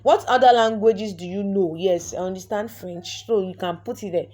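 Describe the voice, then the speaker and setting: A woman's voice: medium pitch, moderate pace, dark tone. Nigerian woman walking through a tutorial. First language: Yoruba. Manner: chatty, repeats words and restarts often, instructive. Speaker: vlogger. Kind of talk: screen-recorded tutorial walkthrough.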